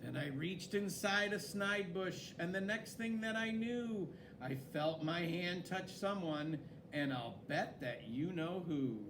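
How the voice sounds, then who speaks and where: Guy reading a kids story, really expressive and dramatic, takes his time, noisy background. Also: sits high, slow pace, hardly any pauses. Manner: expressive, dramatic, with swooping emphasis. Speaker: story reader. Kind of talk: animated children's story read-aloud.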